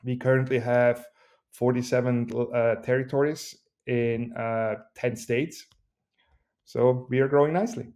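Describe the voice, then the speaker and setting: A man with a Swiss accent, a company CEO speaking as a guest in a podcast interview, with an energetic, joking pitchman delivery. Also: measured pace. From Switzerland.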